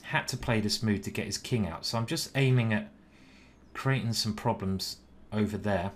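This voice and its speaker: A man's voice: on the low side, moderate pace, slight background noise. A young British man streaming live, chatting casually and thinking aloud about his chess moves.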